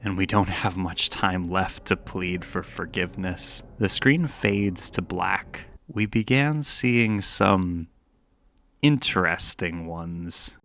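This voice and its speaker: A man's voice: low-pitched, slow, gravelly, fairly bright tone. A man giving video essay commentary, musing and dramatic, shifting between scripted narration and casual, wry asides.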